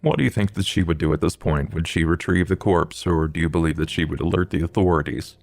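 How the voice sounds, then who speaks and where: Deep male voice, acted erudite vampire investigator, gravelly, formal, halting, analytical, tabletop roleplay. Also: no room sound. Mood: cool, probing. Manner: measured, halting, ornate formal wording.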